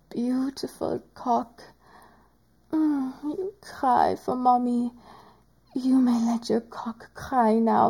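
A woman voicing a teasing, doting woman doctor in an adult audio roleplay, speaking in a slow, whispery, drawn-out way. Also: high-pitched, dark tone, husky, full character voice.

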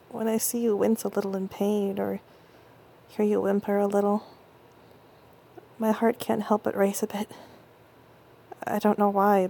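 A young woman playing an affectionate, intense girlfriend in an intimate audio roleplay, speaking close to the mic in soft whispers that break into stammers. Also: mid-range voice, gravelly, a little hiss or hum.

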